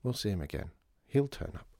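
Guy reading as a kind practical dad in a storybook, reassuring, slight creak, lively pitch. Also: fairly quick, no room sound, studio quality, full character voice. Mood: calm, reassuring.

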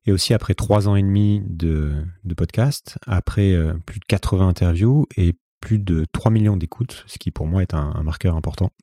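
A man's voice: deep voice, normal speed, few pauses, warm tone, no room sound, studio quality. A forty-year-old man from the Paris region with a Parisian French accent, speaking as a podcast host in a conversational, reflective solo monologue with a self-effacing manner. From France, Paris region.

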